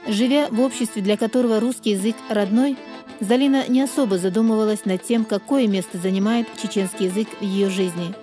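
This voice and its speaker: Woman reporter, scripted voice-over, measured; music bed clearly underneath. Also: medium pitch, warm tone. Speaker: TV reporter narrating. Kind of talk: scripted narration.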